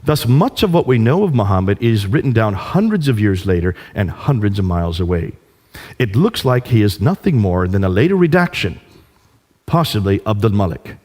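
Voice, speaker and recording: Man, public lecturer, animated swooping intonation, emphatic, rhetorical questions, echoing hall. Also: lowish voice, normal speed. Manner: emphatic, rhetorical questions, repeats phrases for stress. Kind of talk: public lecture, speaking off the cuff. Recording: hall with long reverb.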